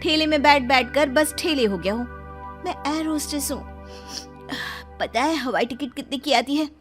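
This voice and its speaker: A woman voicing a haughty young air hostess daughter-in-law in an animated moral story, boastful and dramatic. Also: high voice, normal speed, faint music behind, natural voice. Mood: scornful, boastful.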